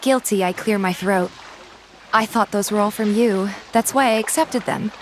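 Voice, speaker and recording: A woman voicing a shy, soft-hearted young heroine in a game fan dub, earnest in both narration and dialogue. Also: mid-range voice, moderate pace, slight background noise, lightly acted, rough recording.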